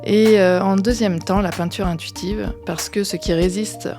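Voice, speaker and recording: A woman's voice: medium pitch, steady tone, normal speed, reverberant, music in the background. A woman hosting a podcast who introduces the show and her guest, then asks questions conversationally, with frequent fillers. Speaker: podcast host.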